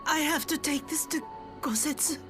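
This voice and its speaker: A woman's voice: sits high, moderate pace, close to an everyday voice. An actress playing a distressed, childlike young woman in a video game. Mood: frightened pleading. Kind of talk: scripted video game cutscene acting. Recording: game music behind.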